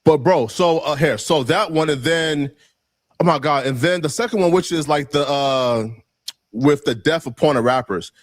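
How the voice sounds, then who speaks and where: A man's voice: on the high side, normal speed, no room sound, studio quality. Rambling male guest, full of fillers. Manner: rambling, heavy fillers, restarts. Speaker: interview guest. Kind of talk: defensive interview answers.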